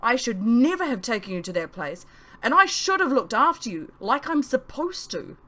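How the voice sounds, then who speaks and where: A woman's voice: on the high side, big pitch swings, moderate pace, sharp tone, pitched above the performer's own voice, full character voice. A woman voicing an anguished, protective male mentor in an audiobook, speaking with emphatic, pleading intensity.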